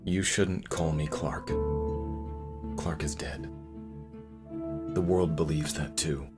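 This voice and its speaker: Man to play cold, risen superhero for motion comic, grave and unhurried, loud music around the voice. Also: a bass voice, fairly even intonation. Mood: cold, brooding. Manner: grave, slow, subdued. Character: brooding, cold, resurrected male superhero.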